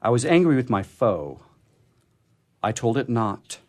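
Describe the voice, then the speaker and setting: A man hosting a poetry program, speaking in a halting, expressive way as he talks casually and reads verse. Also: mid-range voice. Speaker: radio show host reading poetry. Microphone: studio microphone he drifts away from.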